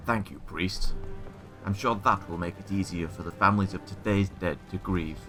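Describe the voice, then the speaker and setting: A very low-voiced, grim captain of a fantasy militia, acted by a man. He is wounded, bitter and stubborn. His speech is terse and military, with a forceful, bright edge. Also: moderate pace, soft background music. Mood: bitter, weary. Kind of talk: fantasy audio drama acting.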